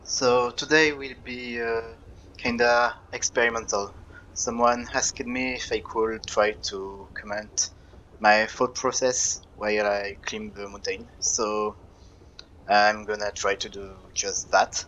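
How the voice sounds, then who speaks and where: A young man with a French accent, casually commenting on his own gameplay and thinking aloud, with frequent pauses and fillers. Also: fairly low pitch, level tone, pushed and bright, dull audio. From France.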